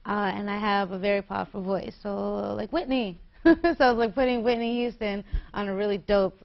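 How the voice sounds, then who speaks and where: A woman's voice: mid-range voice, steady tone, moderate pace, vocal fry. Giggly young woman, muffled recording. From New York. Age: 20s. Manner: bubbly, giggly, chatty. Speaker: guest singer. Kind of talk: casual interview.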